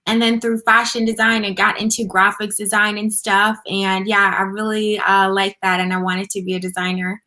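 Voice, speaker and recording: A woman's voice: medium pitch, level tone, moderate pace, few pauses, bright, forceful tone. A woman from Germany, guest on an interview show, talking casually and upbeat about her marketing work. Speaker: podcast guest, marketing agency founder.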